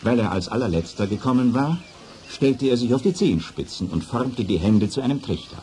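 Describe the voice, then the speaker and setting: A man's voice: medium pitch, expressive, a little fast, warm tone. A man narrating a children's radio play as a storyteller. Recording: faint noise of vintage record.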